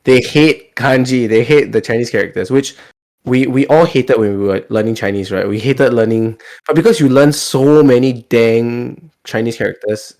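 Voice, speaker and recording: A man's voice: medium pitch, normal speed, a little mellow. A Singaporean man chatting casually as a podcast host, talkative and often repeating his words as he goes.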